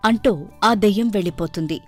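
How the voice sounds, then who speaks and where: Lady storyteller reading a kids moral story, mid pitch voice, soft music behind. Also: fairly quick. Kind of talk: scripted children's moral story narration.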